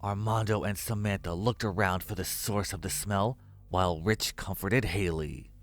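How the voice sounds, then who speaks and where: A man's voice: low voice, fairly even intonation, moderate pace. A man narrating a scripted horror story.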